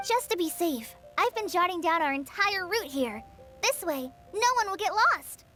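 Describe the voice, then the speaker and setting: High-voiced, earnest teenage girl. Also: animated, moderate pace, pushed and bright, faint music behind, exaggerated voice. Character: shy, earnest, careful teenage girl adventurer. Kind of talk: anime game voice acting.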